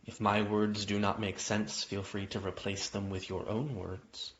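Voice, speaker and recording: Male meditation guide, lower-pitched voice, gentle invitational delivery with lingering pauses, slightly dull lo-fi sound. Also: level tone, slight background noise. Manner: gentle, unhurried phrasing with long pauses, invitational. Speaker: yoga nidra guide. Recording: dull, muffled lo-fi audio.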